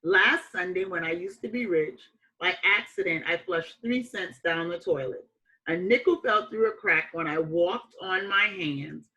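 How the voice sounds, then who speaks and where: A woman reading a children's picture book aloud like a teacher, with expressive, emphatic phrasing and deliberate dramatic pauses. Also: on the low side, low-quality recording.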